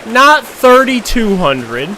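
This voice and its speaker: Hoarse man streaming a shooter, slow. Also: extremely high pitch. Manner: chatty, reads chat aloud, bursts into loud excited exclamations. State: losing his voice, sore throat. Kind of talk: casual gaming stream chat. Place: at home playing a console shooter. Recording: loud game noise behind voice. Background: game gunfire, artillery and vehicles.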